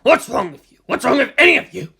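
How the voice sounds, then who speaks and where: A narrator voicing a gruff, aggressive male special forces team leader in an audiobook story, growling out commands. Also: mid-range voice, sing-song, fast, pushed and bright, voice pushed up, exaggerated voice. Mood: angry disbelief. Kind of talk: dramatic audiobook character voice.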